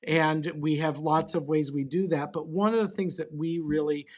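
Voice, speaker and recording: A man's voice: a little high, fairly even intonation, moderate pace. A man hosting a church podcast, welcoming his guest in a friendly way with frequent filler words. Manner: friendly, filler-heavy, says "uh" often. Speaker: podcast host.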